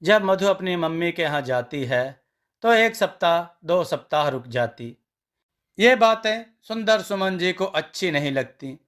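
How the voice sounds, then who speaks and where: A man with a slightly high voice tells a scripted family story. His delivery is very slow and measured, in the manner of a storybook narrator. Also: expressive, fairly bright tone.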